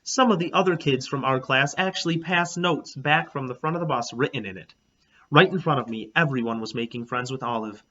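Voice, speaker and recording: A man reading a children's storybook aloud as a teacher, in an expressive, animated, emphatic way. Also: medium pitch, a little fast, highs cut off. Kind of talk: expressive storybook read-aloud in a child narrator's first person.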